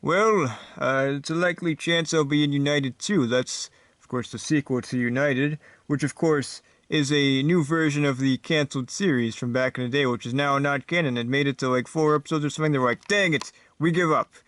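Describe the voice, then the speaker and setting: A man's voice: medium pitch, a little fast, few pauses, fairly bright tone, lightly acted. A man voicing a self-assured, casual king who chats and explains as a guest on a mock talk show. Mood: chatty. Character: pompous male king guest, cool and chatty.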